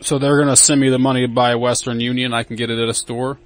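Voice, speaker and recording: A man's voice: medium pitch, flat delivery, quick pace. A man chatting casually on a phone call, agreeable and sounding a little naive as he answers and asks simple questions. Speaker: prank call taker. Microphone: recording his side of a phone call.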